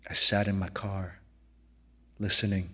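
A male actor narrates literary fiction with solemn drama. His voice is very low and husky, and his delivery is slow and measured. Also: flat delivery, pushed and bright. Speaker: actor reading a short story.

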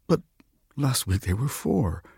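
A man voicing a shy, lonely Death in a story reading, gentle and halting, often stammering. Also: mid-range voice, sing-song, vocal fry, husky, no echo, clean studio sound, heavily acted. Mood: lonely, puzzled. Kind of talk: literary story reading with character dialogue.

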